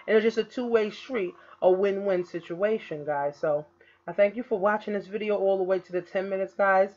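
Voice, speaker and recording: A woman in her early thirties, an online business coach, giving a casual recruiting pitch to camera, repeatedly checking in with "okay?" and "guys". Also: dull audio. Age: 30s. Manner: repetitive, keeps saying "okay?" and "guys". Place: indoor room. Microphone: laptop webcam in screen recording.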